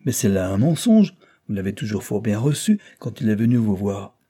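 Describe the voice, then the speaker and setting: A man voicing an irate, stubborn older judge who shouts and hectors. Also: on the low side, big pitch swings, moderate pace. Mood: furious.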